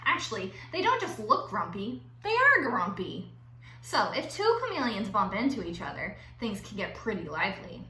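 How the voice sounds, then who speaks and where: Woman, teacher, children's read-aloud, very animated, playful, drawn-out emphasis, roomy echo, faint background noise. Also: mid-range voice. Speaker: teacher reading aloud to her class. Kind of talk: expressive children's read-aloud with chatty asides.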